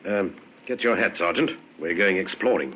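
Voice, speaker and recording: Low, animated police inspector. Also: male voice, heavy background noise. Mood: brisk, courteous. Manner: brisk, polite, questioning. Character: brisk, courteous Scotland Yard police inspector. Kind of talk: 1950s radio crime drama acting. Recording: dull vintage radio recording.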